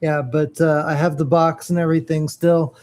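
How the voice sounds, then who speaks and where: A man chatting casually on a morning podcast, halting and searching for words as he shows off collectibles. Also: on the high side, flat delivery, a little mellow. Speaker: podcast co-host. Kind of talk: casual morning show chat.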